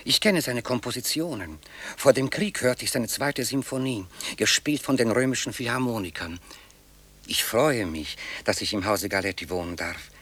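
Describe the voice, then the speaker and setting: A bright, forceful male voice, playing an educated architect lodger in a radio play. He is courteous and reserved, choosing his words formally and deliberately. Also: mid-range voice, husky. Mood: polite, formal. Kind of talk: dramatic radio play acting.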